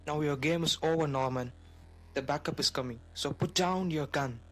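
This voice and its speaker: Man acting an emphatic, high-pitched police officer. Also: moderate pace, natural voice. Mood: commanding. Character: urgent young policeman, serious male subordinate officer. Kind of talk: amateur audio drama acting. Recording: humming background noise.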